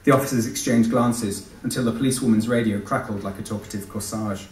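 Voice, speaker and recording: A man's voice: on the low side, steady tone, fast, a little mellow. A man, an author giving a live reading from his novel. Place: live event in a large venue. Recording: echoey hall, distant microphone.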